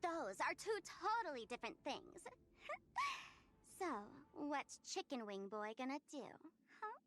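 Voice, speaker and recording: A young female trickster streamer from an anime-style video game. Her voice is very high and swoops around in lively, theatrical arcs. She is playful and teasing, mischievous and hungry for attention. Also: background noise. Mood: cheeky, theatrical. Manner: playful, teasing, theatrical, with giggles and drawn-out words.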